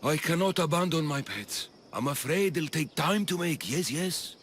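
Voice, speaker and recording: An actor playing an eccentric, obsessive doctor in a video game, fussy and jittery, doting and often repeating himself. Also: male voice, a little high, lively intonation, normal speed, a bit bright, slight background noise. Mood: fussy, resigned. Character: eccentric obsessive male doctor doting on his human pets. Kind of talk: video game character acting.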